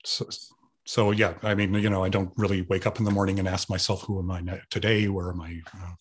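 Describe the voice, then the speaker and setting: Husky hesitant man on a video call. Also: low voice, level tone, brisk. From Serbia. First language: Serbian. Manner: hesitant, many restarts and repeated words. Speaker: guest artist. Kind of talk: thoughtful conversational interview. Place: home room with sofa.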